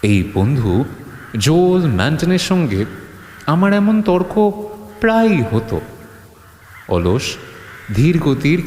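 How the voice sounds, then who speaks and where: Animated male horror narrator in reverb. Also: a little high, slight background noise. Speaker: story host and narrator. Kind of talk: dramatic scripted horror audiobook narration. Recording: long reverb, music underneath. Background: music.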